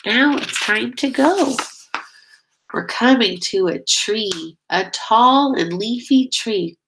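A woman's voice: on the high side, animated, slow pace, a bit bright, a little hiss or hum, low-quality recording. A middle-aged woman reading a picture book aloud as a teacher, expressively, drawing out key words and prompting young listeners with questions. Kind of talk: children's read-aloud.

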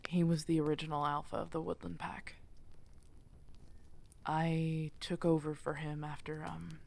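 Weary lady werewolf loner woman acting, low n slow, guarded, bit hesitant, creaky, asmr roleplay. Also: little pitch movement. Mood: guarded, confessional. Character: guarded, weary female werewolf, deposed hermit alpha. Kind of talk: ASMR audio drama acting.

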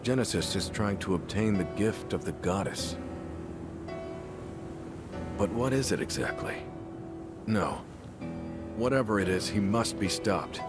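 A slow, low-voiced, remorseful ex-director. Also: male voice, animated, over music. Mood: solemn, resolute. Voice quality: low, measured. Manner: grave, reflective, deliberate. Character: weary, remorseful former director turned into a clone, gently resolute. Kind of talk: dramatic video game voice acting.

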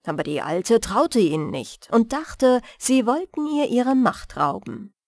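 A woman reading a fairy tale as a bedtime audiobook, in a measured, soothing manner. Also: on the high side, sing-song, a little mellow, dry recording, clean studio sound. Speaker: storyteller and audiobook reader.

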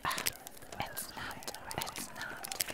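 A person with a German accent doing a breathy whispered ASMR show and tell, halting and rambling as they go. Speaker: ASMR creator.

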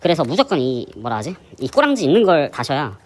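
A man with a hoarse voice from a sore throat, hosting a fishing channel and chatting through his gear in a casual, explanatory way. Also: fairly high pitch, huge pitch swings, fast talker. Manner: chatty, explanatory, many fillers. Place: indoor gym.

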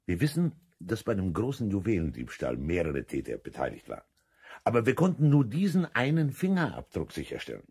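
An actor playing a brisk, businesslike police inspector in a 1970s radio play, speaking in an official, insistent manner. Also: male voice, lowish voice, huge pitch swings, darkish tone, breathy edge, exaggerated voice.

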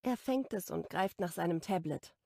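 A woman's voice: lowish voice, animated, moderate pace, vocal fry, darkish tone, dry recording, clean studio sound. A woman narrating a first-person romance audiobook in an intimate, lightly playful tone.

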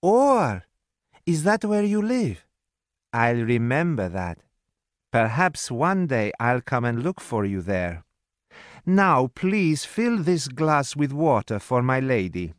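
Man putting on a coaxing, high-pitched young maidservant. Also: sing-song, slow, no echo, clean studio sound. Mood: curious, sly. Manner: coaxing, inquisitive. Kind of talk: acted audiobook dialogue.

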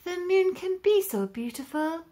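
A bashful young male giraffe from a storybook, pitched high and swooping between notes, as a woman reads him out. He speaks softly and gently, with a dreamy, tender air. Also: lively intonation, a little slow. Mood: downcast. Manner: soft and sad, then bursting with joy.

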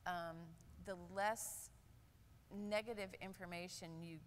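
An older woman, a nurse speaking on a conference panel, candid and animated, with many fillers. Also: medium pitch, slow pace, in a large hall, slight background noise. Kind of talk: panel discussion. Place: large conference hall stage. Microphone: lapel.

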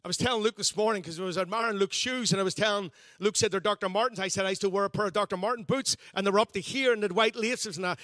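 A man's voice: high voice, sharp tone, no room sound. A man, a pastor giving a conversational, self-deprecating sermon with frequent restarts. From Northern Ireland.

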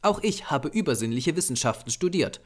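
A small, lean Japanese doctor of the occult , acted out by a man reading an audiobook. The voice is raised high and moves about a lot. He lectures patiently and formally, with earnest insistence. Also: lively intonation, fairly quick. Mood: lecturing. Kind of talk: audiobook character voice.

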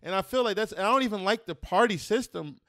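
A man's voice: high-pitched, animated, quick pace, fairly bright tone, dry recording, studio quality. A man on a podcast, rambling loosely about his opinions in slangy speech. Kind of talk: casual political chat.

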